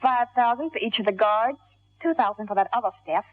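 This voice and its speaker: An actress playing a scheming, hard-boiled gangster moll with a tough, wry, slangy delivery in an old radio crime drama. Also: female voice, on the high side, brisk, dry recording, lightly acted. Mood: sarcastic, pushy. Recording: tinny old radio broadcast, music behind.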